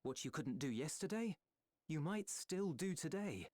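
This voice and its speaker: A man's voice: fairly high pitch, big pitch swings, unhurried, a little mellow, no echo. A man playing an earnest young companion in a fantasy video game, reassuring and sincere in his delivery. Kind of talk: video game dramatic acting. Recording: dry studio voice over game music. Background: game music.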